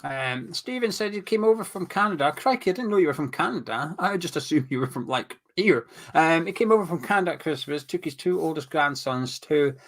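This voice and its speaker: A man's voice: fairly high pitch, expressive, a little fast, few pauses. A man co-hosting a casual live football chat, reading out viewer comments and teasing his co-host. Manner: reads out comments, teasing banter.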